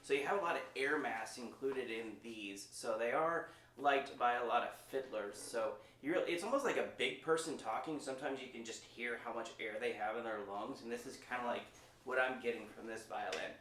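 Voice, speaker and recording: A man's voice: medium pitch, brisk, fairly bright tone, a little hiss or hum. A man hosting a casual demo, talking in an enthusiastic, folksy and playful way. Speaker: host of instrument demo. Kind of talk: casual presenting chat. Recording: roomy, echoing sound.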